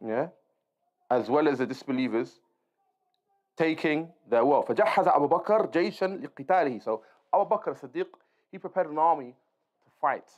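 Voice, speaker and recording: A man teaching an informal Islamic lesson, reading aloud and explaining as he goes, checking in with his listeners. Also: fairly high pitch. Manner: explanatory, checks in with listeners using short tags. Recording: dull, lo-fi sound.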